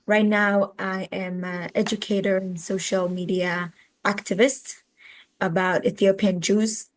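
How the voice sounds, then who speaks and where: A woman's voice: medium pitch, level tone. A woman in her twenties from Israel with an Israeli accent, answering interview questions conversationally and often searching for words. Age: 20s. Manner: halting, searching for words in a second language. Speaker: interview guest, educator and social media activist.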